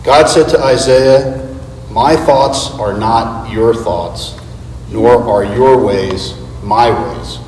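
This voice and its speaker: A man giving an earnest, deliberate dramatic reading of a script as a church readers theater performer. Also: medium pitch, a little mellow. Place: large reverberant church space. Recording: echoey hall, distant mic, background noise.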